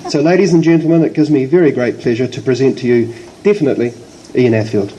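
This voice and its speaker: A man's voice: medium pitch, fast, background noise. A man with a New Zealand accent and a slight cold, giving a prepared formal introduction as the host of a public event.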